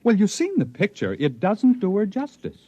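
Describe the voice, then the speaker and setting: A man's voice: high voice, huge pitch swings, normal speed, dark tone, no room sound, heavily acted. An actor playing a proud, intense stranded spaceman telling his story in a 1950s radio drama, with emphatic, dramatic delivery. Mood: wry, wistful. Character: stranded human spaceman recounting his life among aliens, proud, intense. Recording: muffled vintage 1950s radio recording.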